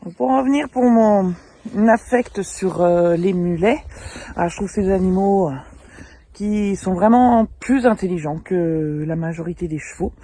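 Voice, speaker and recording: A woman in her thirties from the French Alps, who raises mules as an amateur, introducing herself casually outdoors in a field beside her animals and pausing often to hesitate. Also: medium pitch, warm tone. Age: 30s. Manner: hesitant, frequent filler pauses. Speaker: amateur mule breeder. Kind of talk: casual self-introduction to camera.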